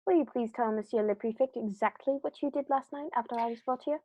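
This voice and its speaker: A woman playing a composed, courteous male detective in a 1940s-style radio play, speaking in a measured, formal way. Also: on the high side, natural voice. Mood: composed, assertive. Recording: dull, noisy lo-fi home recording.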